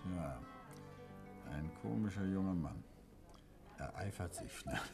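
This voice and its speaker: An actor playing a calm, dry-witted detective in a 1950s radio drama. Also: male voice, sits low, slow, gravelly, soft background music, heavily acted. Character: calm, wry detective, middle-aged man.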